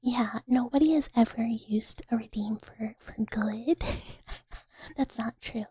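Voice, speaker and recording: A young woman hosting an ASMR stream, whispering close to the mic in a breathy, giggly, self-mocking way. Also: a little high, takes it slowly, mellow, dry recording. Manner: giggly, self-mocking, hesitant with many ums. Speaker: livestream ASMR host.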